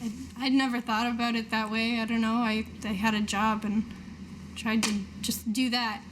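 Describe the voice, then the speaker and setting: Woman as shaken female adventurer, slightly high voice, hesitant, understated, dutiful, noisy recording. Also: little pitch movement, moderate pace, close to an everyday voice. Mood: doubtful.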